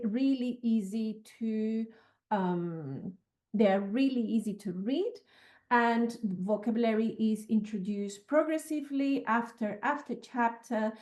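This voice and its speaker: A woman from Venezuela with a Venezuelan accent, presenting as an author in a measured, conversational way. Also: a little high, dark tone.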